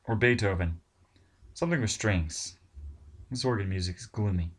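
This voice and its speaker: A man's voice: fairly low pitch, normal speed, breathy edge. A man reading aloud, voicing a stern male commander who gives curt orders. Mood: dismissive. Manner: barking orders.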